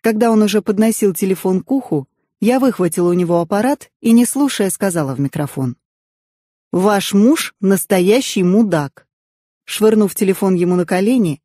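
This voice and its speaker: Mellow-voiced woman narrating an audiobook. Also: medium pitch, no echo, studio quality. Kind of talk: expressive scripted narration of a novel in first person.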